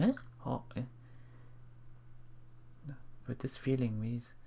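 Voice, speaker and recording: A man reading a visual novel aloud for a Let's Play, expressive and dramatic in first-person narration. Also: medium pitch. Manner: expressive, dramatic, with murmured reactions.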